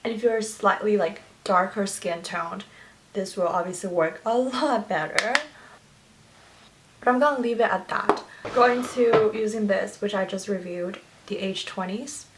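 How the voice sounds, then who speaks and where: A woman's voice: medium pitch, measured pace, noisy background. Woman in her 20s, Chinese first language, chatty get-ready-with-me beauty vlogger. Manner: chatty and gushing, with many fillers and restarts. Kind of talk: get-ready-with-me makeup tutorial chat.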